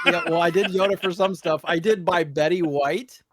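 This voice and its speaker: A man's voice: sits high, moderate pace. A man co-hosting a podcast in casual chat, telling rambling, joking stories. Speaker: podcast co-host and streamer.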